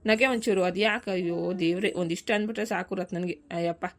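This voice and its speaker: A woman voicing a quick-tempered village farmer husband who bickers and coaxes in a family cartoon, with a rural southern Karnataka accent. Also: mid-range voice, steady tone, lightly acted. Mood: placating. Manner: bickering, colloquial, nagging questions.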